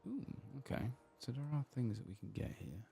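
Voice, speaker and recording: A man giving soft-spoken ASMR commentary as he plays a game, quiet and relaxed, murmuring to himself. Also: medium pitch, a little fast, vocal fry. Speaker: gaming ASMR host.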